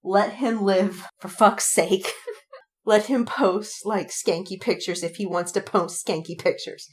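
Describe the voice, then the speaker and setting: A woman's voice: mid-range voice, slow. Rambling, casually sweary woman podcaster. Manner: animated retelling with quoted reactions. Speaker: podcast co-host. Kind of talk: casual fandom chat.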